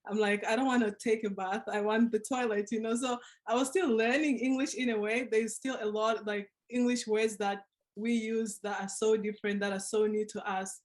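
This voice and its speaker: A woman's voice: a little high, steady tone, moderate pace. Earnest young woman sharing her story. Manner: earnest, reflective, with soft laughs. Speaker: panel speaker. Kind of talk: personal story on a panel.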